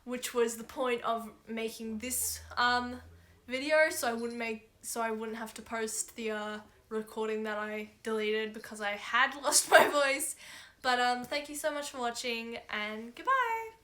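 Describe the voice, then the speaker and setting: A young woman reviewing anime from her bedroom, rambling and laughing often, her voice hoarse after losing it. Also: on the high side, a little slow, sharp tone. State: hoarse, has lost her voice. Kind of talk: casual video review. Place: bedroom at home.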